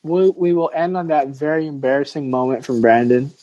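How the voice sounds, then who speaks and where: A man's voice: fairly high pitch, fairly quick, warm tone, highs cut off. A man hosting a casual sports podcast, chatting and steering the discussion. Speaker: podcast host.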